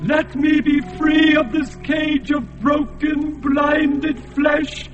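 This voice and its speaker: An actor playing an ancient, blinded alien god who is enslaved and sorrowful, speaking in a grave, chanting way. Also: male voice, extremely high pitch, level tone, lo-fi sound, soft background music. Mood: exultant. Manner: slow, grave, chanting delivery. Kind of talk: radio drama acting.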